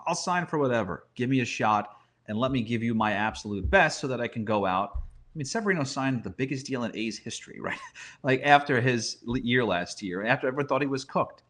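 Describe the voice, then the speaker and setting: A man's voice: medium pitch, lively intonation, a little fast, fairly bright tone. A man giving conversational sports analysis as a guest broadcaster, with emphatic repetition and rhetorical questions.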